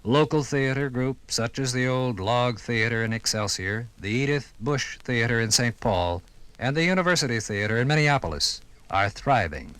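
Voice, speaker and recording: An American man narrating a scripted 1950s radio documentary with formal, measured, dramatic phrasing. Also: mid-range voice, animated, fairly bright tone, a little hiss or hum.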